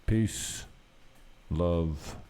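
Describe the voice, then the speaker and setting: A man's voice: low-pitched, very slow, dark tone. A man hosting a podcast in casual chat, laid-back, informal and teasing. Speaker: podcast host.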